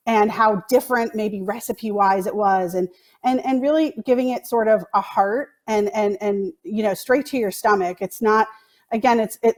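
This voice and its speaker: A woman's voice: fairly high pitch, a little mellow. A woman speaking conversationally as a producer answering on a panel.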